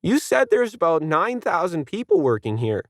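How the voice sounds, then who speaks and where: A man's voice: a little high, big pitch swings, normal speed, dry recording, studio quality, pitched above the performer's own voice. A man voicing a smug, bratty young male tourist who badgers and demands pedantically. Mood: pestering, demanding.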